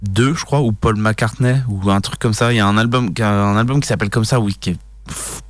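A man's voice: lowish voice, fairly even intonation, fairly quick, dry recording. A man co-hosting a casual music chat on the radio, hesitant and full of fillers and restarts. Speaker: radio co-host.

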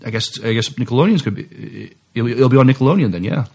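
A man co-hosting a podcast, reading news items and chatting casually in a halting, hesitant way. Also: medium pitch, animated, gravelly, darkish tone, slightly rough. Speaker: podcast co-host.

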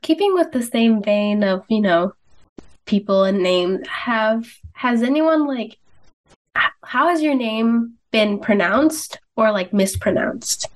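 Slow-speaking woman interviewer. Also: fairly high pitch, animated, poor audio. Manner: measured, asks gentle questions. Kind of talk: podcast interview.

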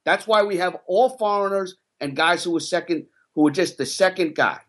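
A man who once coached rugby, ranting about player development on a casual podcast. He gets fired up and his voice climbs higher as he argues. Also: moderate pace, a bit bright. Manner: passionate, ranting, rising pitch when worked up.

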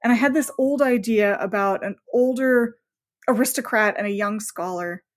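A woman's voice: mid-range voice, moderate pace. A woman novelist talking casually as an interview guest, enthusiastic and rambling, often voicing her own thoughts as asides.

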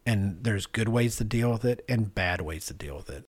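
A man's voice: fairly low pitch, expressive, normal speed, husky, no echo, clean studio sound. A middle-aged man co-hosting a podcast, telling stories in a casual, conversational way and acting out what people said. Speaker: podcast co-host.

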